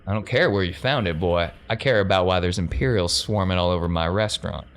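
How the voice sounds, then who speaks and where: A man's voice: deep voice, brisk, pitched below the performer's own voice. A man voicing a shrewd, folksy crime boss who drawls and talks down to people in a tabletop game. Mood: challenging. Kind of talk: tabletop game role-play.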